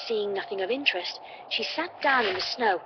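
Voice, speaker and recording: A woman's voice: mid-range voice, lively intonation, moderate pace, background noise. A woman reading a scripted story as a dramatic narrator. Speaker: fan fiction reader. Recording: muffled lo-fi playback. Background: music.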